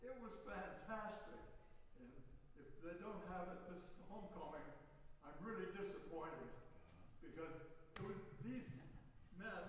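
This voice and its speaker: A man in the audience makes a chatty, rambling comment with plenty of enthusiasm. His voice is high for a man. He sounds distant from the mic, in a very echoey hall. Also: measured pace, slight background noise. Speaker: audience member.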